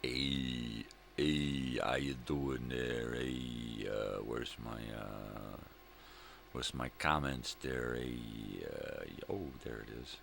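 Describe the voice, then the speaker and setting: A middle-aged man with a gravelly voice, rambling casually and folksily as he hosts a morning vlog, often repeating himself. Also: extremely slow, a bit bright. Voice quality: gravelly, very deep. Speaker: host of a daily vlog. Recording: clear background room noise.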